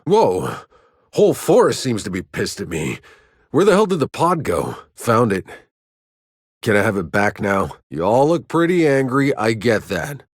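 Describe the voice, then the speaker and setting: Husky, low-voiced gruff sci-fi soldier. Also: male voice, no echo, exaggerated voice. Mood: annoyed, then focused, dry. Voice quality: husky, gritty. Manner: terse, dry, wry. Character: battle-worn sci-fi soldier, gruff, sardonic, foul-mouthed man. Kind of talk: video game voice acting.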